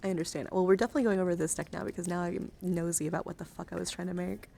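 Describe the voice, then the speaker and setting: A woman livestreamer chatting casually and informally, excitable and prone to swearing, as she talks viewers through her hobby. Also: on the low side, racing, vocal fry, a little hiss or hum. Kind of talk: casual livestream chat while sorting trading cards.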